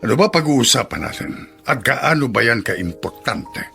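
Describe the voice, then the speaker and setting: Gravelly, flustered congressman. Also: mid-range voice, sing-song, normal speed, pushed and bright, faint music behind, heavily acted. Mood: annoyed. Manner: stammering, flustered, irritable. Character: defensive, evasive older congressman with something to hide. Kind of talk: Filipino radio drama acting.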